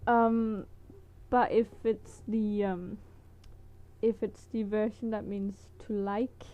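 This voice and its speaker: A woman's voice: medium pitch, flat delivery, takes it slowly, lo-fi sound. A woman from Denmark with a Danish accent, teaching words in a casual, hesitant vlog with many fillers and small laughs. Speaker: language teacher vlogger.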